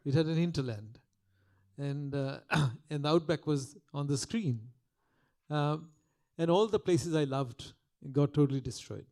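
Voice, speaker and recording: An older man with a delivers a public lecture in a soft, mellow voice on a podium microphone. He talks reflectively, often restarting his sentences, in a slightly raised register. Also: a little high. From Calcutta, India. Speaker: guest lecturer.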